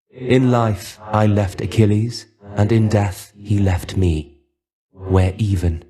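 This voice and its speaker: A melancholy male shade of a fallen warrior for a dramatic game. The voice sits low and soft-edged, with a dark, relaxed tone. The delivery is dry, guarded and resigned, in formal, archaic phrasing. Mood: bitter, skeptical. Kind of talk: dramatic video game character voice acting.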